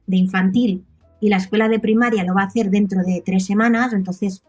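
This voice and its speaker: A woman's voice: medium pitch, steady tone, fairly quick, darkish tone, faint music behind. A woman in her thirties from Catalonia with a Catalan accent, a native Catalan speaker chatting casually as an interview guest, often adding tag questions. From Catalonia, Spain. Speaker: podcast guest.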